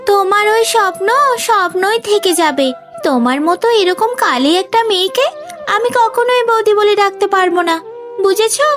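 A woman voicing a spiteful, sneering young unmarried sister-in-law in an animated moral story. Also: very high-pitched. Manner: sneering, scolding.

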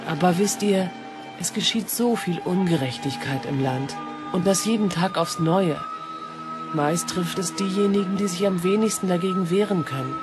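A woman playing a mysterious cloaked stranger in an audio drama, calm, cryptic and persuasive. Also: lowish voice, moderate pace, lightly acted. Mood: solemn. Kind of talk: German audio drama acting. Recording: music behind the voice.

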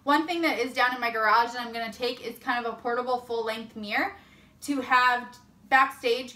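A young woman with a high, bright voice talks casually to the camera like a fitness vlogger. She is upbeat and informal. The camera mic catches the echo of a room at home. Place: indoors at home, kitchen and bedroom. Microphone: camera or phone a short distance away. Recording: roomy echo of home interior.

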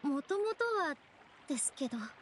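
A woman's voice: high-pitched, very animated, fairly quick, slight background noise. A woman voicing a polite, apologetic young female guide and cheerful mascot in an anime game, explaining things earnestly.

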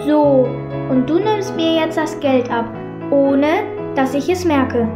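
A woman's voice: high-pitched, takes it slowly. A woman playing a bossy, impatient young girl pickpocket in a children's audio drama. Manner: bossy, exasperated instructing. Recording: echoey room, music behind.

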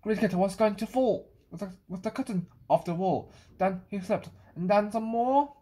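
Husky woman reading a children's picture book. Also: mid-range voice, normal speed, mellow, rough recording. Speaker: storybook reader.

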